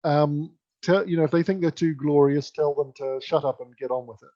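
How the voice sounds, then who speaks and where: A man's voice: a little high, brisk, dark tone. A man giving an instructive, emphatic conference keynote presentation. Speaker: keynote speaker. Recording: dull lo-fi sound.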